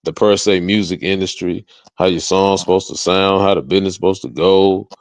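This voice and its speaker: A man's voice: low-pitched, steady tone, fairly quick, no room sound. A man speaking casually as a music artist guest in an interview, motivational, emphatic and repetitive, with slangy tag questions.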